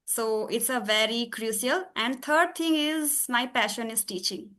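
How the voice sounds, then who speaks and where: A woman hosting a conversational podcast, a teacher talking in chatty, halting English with frequent fillers and laughs. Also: fairly high pitch, a bit bright.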